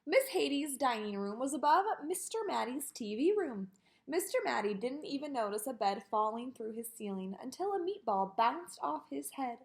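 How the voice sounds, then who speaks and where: A woman reading a children's picture book aloud in an expressive way, with sing-song delivery on repeated lists. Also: sits high. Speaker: storybook reader.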